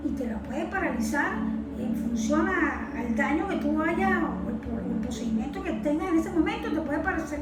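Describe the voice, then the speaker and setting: A woman's voice: high voice, heavy background noise. A Venezuelan woman speaking as an interview guest, explaining in an informal, didactic way and often checking for agreement. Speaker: interview guest, environmental consultant. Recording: echoey room, distant mic, music behind.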